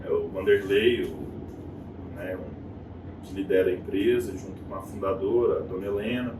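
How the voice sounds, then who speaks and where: A man's voice: low-pitched, a little slow. Brazilian man telling stories in an echoey room. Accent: Brazilian Portuguese. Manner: animated storytelling, acting out quoted voices. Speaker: guest. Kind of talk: casual interview chat. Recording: echoey room, distant mic, noisy.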